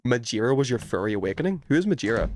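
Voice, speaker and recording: Animated man chatting on a game livestream. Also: mid-range voice, racing, no room sound. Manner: playful, teasing, swears casually. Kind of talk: casual chat while playing a horror game, reading and answering chat.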